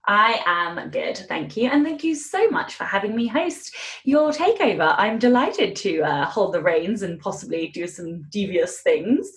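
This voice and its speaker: A woman's voice: mid-range voice, animated, a little slow. A woman hosting a podcast interview in a chatty style. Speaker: guest podcast host.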